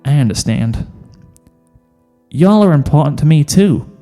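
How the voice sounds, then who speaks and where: A man plays a young country cowgirl, shy and tender, in a fan fiction story. The phrasing is folksy and Southern, the pitch lifted above the reader's own. The words come slowly, with a reverberant tail. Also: lively intonation, warm tone, soft background music. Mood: tender, reassuring. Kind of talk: fan fiction audio reading.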